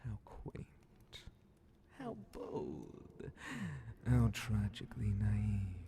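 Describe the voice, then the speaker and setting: A man voicing a sly, menacing demon Grand Vizier of hell in a breathy, theatrical half-whisper for an ASMR horror fantasy roleplay. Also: on the low side, lively intonation, noisy background, heavily acted. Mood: mocking, condescending. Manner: slow, theatrical, drawn-out, mockingly polite.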